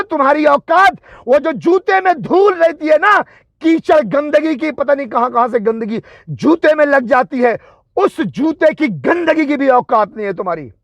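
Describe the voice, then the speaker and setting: A man's voice: very high voice, fairly bright tone, no echo. A man delivering an angry, confrontational political and religious tirade, taunting with rhetorical questions and emphatic repetition.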